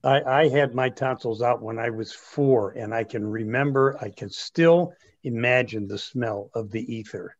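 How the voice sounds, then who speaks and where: A man's voice: medium pitch, unhurried, hardly any pauses, dry recording. Older male, casual storyteller, dry and dull call audio. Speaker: group participant.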